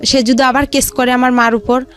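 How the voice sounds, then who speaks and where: Female, Bangladeshi accent, very high voice, rambling personal storyteller, faint music underneath. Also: level tone, normal speed. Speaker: guest telling their life story.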